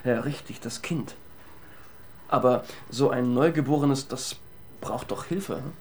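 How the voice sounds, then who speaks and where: A man's voice: mid-range voice, a little slow, a little mellow, slight background noise, lightly acted. An actor playing a cheeky, thoughtful male police detective in a German radio crime drama, speaking in a wry, conversational way. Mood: pondering.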